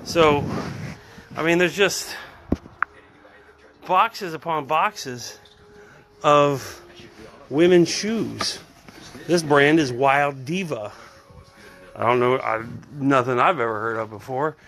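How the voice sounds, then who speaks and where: A middle-aged man rambling excitably and swearing casually during a live phone stream outdoors at a storage unit. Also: a little high, takes it slowly, long pauses. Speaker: vlogger. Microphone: phone at arm's length.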